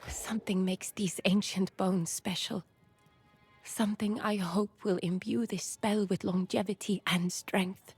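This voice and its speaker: Slow, husky dark sorceress. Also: female voice, mid-range voice, fairly even intonation, faint music behind. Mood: brooding, intent. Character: brooding female dark sorceress obsessed with ancient bones. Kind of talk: scripted video game monologue.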